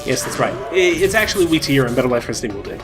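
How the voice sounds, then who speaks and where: A man's voice: medium pitch, sing-song, fast. A man doing casual, sarcastic game commentary as he plays. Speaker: gameplay commentator and player. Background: loud game music.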